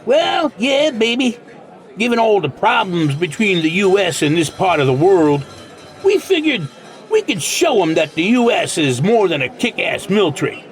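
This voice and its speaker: An actor playing a brash, folksy American country band leader with a Southern accent and a boastful drawl in a radio play. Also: male voice, sits high, sing-song, normal speed. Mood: proudly boastful. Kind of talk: radio drama acting.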